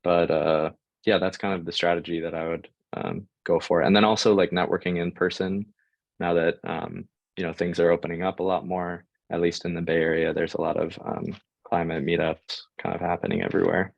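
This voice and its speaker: A man's voice: low-pitched, flat delivery, fairly quick, gravelly, no echo, dull audio. A young American man giving informal advice as a panel guest, with hesitant speech full of fillers. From United States. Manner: hesitant, filler-heavy delivery. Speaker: guest sharing job-search advice.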